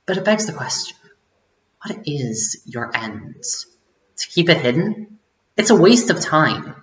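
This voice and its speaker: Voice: medium pitch, a bit bright. Bitter revolutionary, played by an actor, theatrical and scornful monologue delivery, muffled sound in an echoey room. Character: bitter vengeful rebel gloating at a powerful leader. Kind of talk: dramatic stage monologue.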